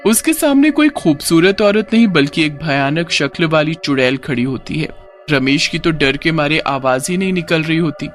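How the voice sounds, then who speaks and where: Lively higher-pitched male story narrator. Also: normal speed, faint music behind. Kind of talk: scripted dramatic moral-story narration.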